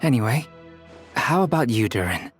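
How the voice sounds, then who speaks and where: A composed young alchemist, voiced by a man, speaking in a dry, understated way. His voice sits at a middle pitch. Game music is plainly heard under him. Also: big pitch swings, normal speed, natural voice. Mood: deadpan. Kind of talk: video game dialogue. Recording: game audio with clear music behind.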